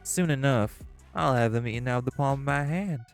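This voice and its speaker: A smug, scheming gangster, played by a man, who mocks and teases the others. His voice sits at a middle pitch, with faint music underneath. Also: a little fast, natural voice. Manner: smug, mocking, sly. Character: smug, scheming male gangster, sly and teasing. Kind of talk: audio roleplay acting.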